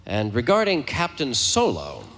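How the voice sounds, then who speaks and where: An actor playing a formal, earnest resistance leader in a live audio drama, eloquent and measured. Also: male voice, mid-range voice, huge pitch swings, a bit bright, exaggerated voice. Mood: solemn, sincere.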